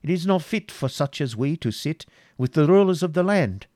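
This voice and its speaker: Storybook supplicant, played by a man: humble, anxious, hesitant, pitched up, deferential. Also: lively intonation, moderate pace, mellow, no echo, studio quality, lightly acted. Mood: anxious deference.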